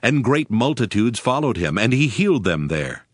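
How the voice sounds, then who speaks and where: A man's voice: mid-range voice, sing-song, normal speed, no room sound, clean studio sound. A man narrating scripture from a script as the narrator of a dramatized reading.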